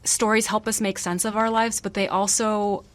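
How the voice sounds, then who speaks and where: A woman in her thirties, an author born abroad, answering interview questions thoughtfully with many pauses and restarts. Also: mid-range voice, little pitch movement. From Malaysia. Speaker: author guest.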